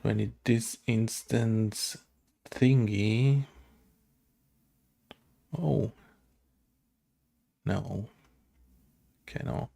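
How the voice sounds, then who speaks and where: A man with a mellow, gravelly voice talks to himself while programming. He speaks very slowly and haltingly, in casual mutters, as though working things out aloud. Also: fairly low pitch. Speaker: game developer.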